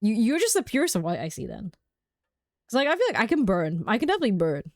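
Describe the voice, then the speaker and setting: A woman's voice: fairly high pitch, huge pitch swings, fast, darkish tone, no echo, clean studio sound. A young woman streaming a game at home, chatting casually with viewers, laughing often and filling her talk with "like". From United Kingdom. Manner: chatty and giggly, many "like" fillers.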